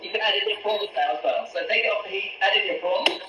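A man's voice: sits high, fast, background noise. A man, a pasta supplier explaining each cooking step as a guest on a live stream from his kitchen. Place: home kitchen. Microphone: camera a few feet away on a video call. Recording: echoey kitchen sound from the call.

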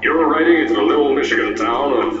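An actor playing a brash middle-aged male company founder in a game, talking in a boastful, booming salesman pitch. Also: fast talker, recorded from a distance, lo-fi sound, noisy background, lightly acted. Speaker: game character in a fan-made mod. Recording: roomy game audio with loud music.

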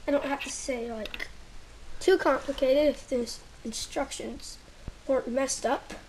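High-voiced woman, slow halting toy review. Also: steady tone, a little mellow, a little hiss or hum, rough recording. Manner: halting, searching for words, trailing off.

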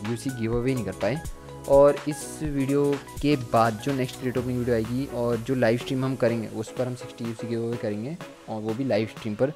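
A man hosting a gaming video in a casual voice-over. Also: mid-range voice. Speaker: gaming channel host. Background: music.

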